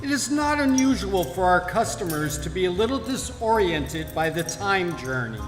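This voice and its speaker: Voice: medium pitch, very animated, normal speed, lightly acted. A performer playing an unflappable, formal and slightly condescending restaurant waiter in a live radio-comedy play. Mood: unctuous, polite. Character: unctuous, unflappable waiter at an end-of-universe restaurant. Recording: echoey live hall, faint music behind.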